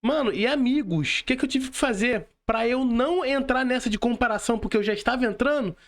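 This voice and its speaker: Male podcast host, Brazilian accent, high-pitched voice, casual, agreeable, slangy. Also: fairly quick.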